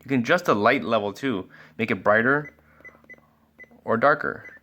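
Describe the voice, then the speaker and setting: A man's voice: mid-range voice, normal speed, pushed and bright. A man giving a casual product review and walkthrough as a sales expert, explaining things informally step by step.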